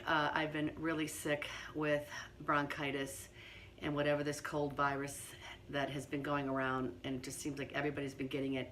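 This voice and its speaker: Hoarse middle-aged woman, low-pitched, speaking softly in her kitchen with a faint hiss behind. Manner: quiet, partly whispered speech. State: hoarse from laryngitis. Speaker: home cooking host. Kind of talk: casual cooking demonstration. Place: home kitchen.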